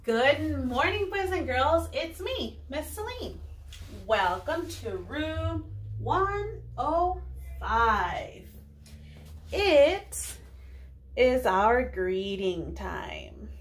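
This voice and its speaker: A young woman preschool teacher addressing little children in a deliberate, clearly enunciated way, often posing questions. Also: high voice, sing-song, slight background noise. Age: young adult. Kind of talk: children's lesson, addressing kids, English with some Spanish words.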